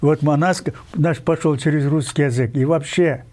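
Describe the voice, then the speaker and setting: An older man from Kyrgyzstan speaks as an interview guest, his voice sitting unusually high for a man. He presses his points insistently, wandering off on tangents and filling pauses with hesitations. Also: darkish tone. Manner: insistent, digressive, many pauses and fillers. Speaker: guest writer. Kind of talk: emphatic interview talk.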